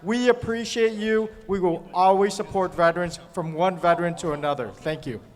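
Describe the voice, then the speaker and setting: A man's voice: sits high, moderate pace. A man, a mayor and military veteran, giving brief, direct, sincere remarks. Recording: reverberant hall.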